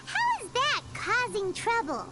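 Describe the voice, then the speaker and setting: Squeaky childlike fairy companion. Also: female voice, huge pitch swings, unhurried, noisy background, heavily acted. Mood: puzzled. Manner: speaks of herself in third person, dramatic. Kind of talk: video game voice acting. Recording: game music loud behind.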